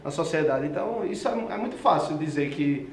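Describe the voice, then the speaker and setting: A young man moderates a casual debate among students. He speaks earnestly, restarting his thoughts as he goes. His slightly high voice rings in a roomy space. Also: lively intonation, brisk, a little hiss or hum. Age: 20s. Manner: earnest, restarts and trailing phrases.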